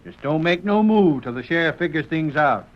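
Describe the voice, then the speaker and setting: Backwoods westerner male actor, high, very animated voice, folksy rural American accent, muffled old radio recording. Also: normal speed, natural voice. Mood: suspicious. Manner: folksy, excitable. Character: excitable backwoods Old West man. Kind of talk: 1940s radio western acting.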